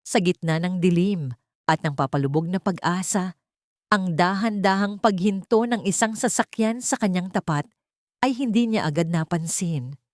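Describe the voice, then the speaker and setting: Woman reading a dramatic love story, kinda low voice, solemn n measured, dry studio-like sound. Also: a little mellow, no room sound. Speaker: narrator. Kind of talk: dramatic scripted romance narration.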